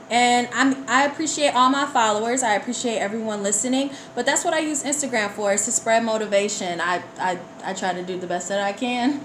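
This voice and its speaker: A woman's voice: mid-range voice, a bit bright. A woman chatting casually as an interview guest, earnest and motivational in her manner. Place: indoor room at a live online broadcast. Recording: roomy live sound, faint noise.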